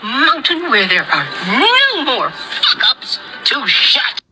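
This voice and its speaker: An exasperated, profane woman telling someone off in a screen clip. She talks slowly and emphatically in a high voice, with pitch that swoops wildly. Loud music plays underneath. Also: huge pitch swings, heavily acted, low-quality recording. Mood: scornful.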